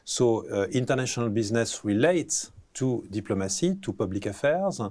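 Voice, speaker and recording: A man, a professor answering interview questions, pausing often with fillers and restarting phrases. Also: mid-range voice, expressive, a little mellow. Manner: hesitant, many fillers and restarts.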